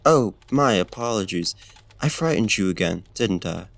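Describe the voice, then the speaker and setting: Guy doing a deep gravelly vampire gentleman, asmr roleplay, super polite n flirty, faintly menacing. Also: expressive, normal speed, no echo. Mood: attentive, hospitable. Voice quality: low, gravelly. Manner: formal, archaic, courteous and flirtatious phrasing. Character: courtly male vampire host, smooth, gently menacing and seductive. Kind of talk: ASMR roleplay, slow and intimate.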